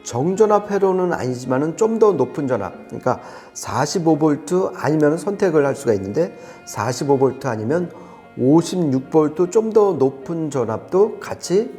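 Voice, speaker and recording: A man's voice: on the high side, big pitch swings, moderate pace, warm tone, soft background music. A man explaining products conversationally as an audio reviewer, with an emphatic manner.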